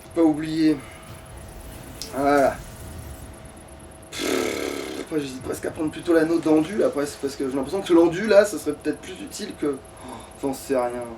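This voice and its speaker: A man's voice: a little high, sing-song, moderate pace, pauses often, darkish tone. A man giving casual let's play commentary, thinking aloud in a grumbling, foul-mouthed way. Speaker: gaming streamer. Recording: distant mic with background noise.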